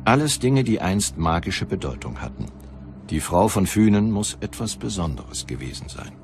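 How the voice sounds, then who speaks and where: A man narrating a documentary from a script in a measured, deliberate way. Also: on the low side, sing-song, darkish tone. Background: music.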